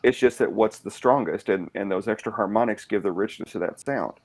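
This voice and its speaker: A podcast host with a low, gravelly baritone. He talks casually and takes his time explaining things. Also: brisk. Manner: chatty, explains at length.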